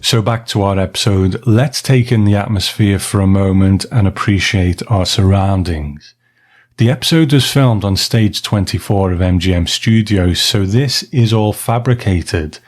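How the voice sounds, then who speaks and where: Low-voiced man hosting scripted commentary. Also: a little slow. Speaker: podcast host. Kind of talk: scripted commentary on classic television.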